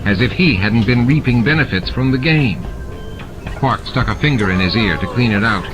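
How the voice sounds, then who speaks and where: A man's voice: mid-range voice, lively intonation, normal speed. A man narrating fiction from a script as an audiobook reader. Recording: music and sound effects behind the voice. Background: added music and sound effects.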